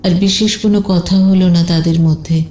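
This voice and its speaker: A woman's voice: lowish voice, fairly even intonation, normal speed, warm tone, echoey room. A woman narrating a scripted detective audio story in a dramatic storytelling style.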